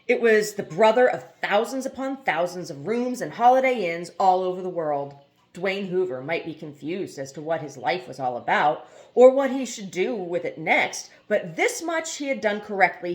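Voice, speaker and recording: Woman, home audiobook reader, low voice with wide, animated pitch swings, casual asides. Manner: expressive reading, laughs at funny passages; quiet, chatty aside between chapters. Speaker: audiobook reader and channel host. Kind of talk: amateur novel reading with casual commentary.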